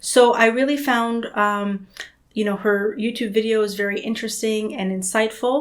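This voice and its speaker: A woman's voice: on the high side, fairly even intonation, normal speed. A woman hosting her own channel, chatting casually to camera with frequent ums and filler phrases. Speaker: host of a spiritual book channel.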